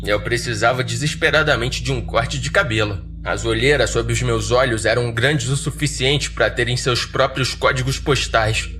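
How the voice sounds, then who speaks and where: A Brazilian man narrates horror fiction in the first person as a podcast storyteller. His pitch sits in the upper-middle male range and his delivery is dry and measured, with clear music underneath. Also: steady tone, bright, forceful tone.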